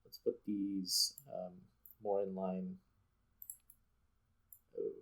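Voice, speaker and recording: A man's voice: low-pitched, completely flat delivery, extremely slow, a bit bright, low-quality recording. A man hosting a gameplay video with casual commentary, thinking aloud as he explains. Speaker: video game streamer and host.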